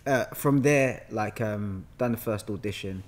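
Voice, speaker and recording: A man's voice: fairly low pitch, a little slow. London man telling stories casually. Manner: animated, bantering, peppered with slang. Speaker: podcast guest, actor. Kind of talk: casual conversational storytelling. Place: bright living room, on a sofa. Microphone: podcast mic on a boom arm.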